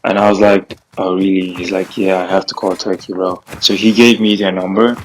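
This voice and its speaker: Young man, very low voice, flat delivery, rambling vlog story, faint hiss behind. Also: normal speed, low-quality recording. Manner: rambling, fillers like "bro" and "like". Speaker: gaming vlogger.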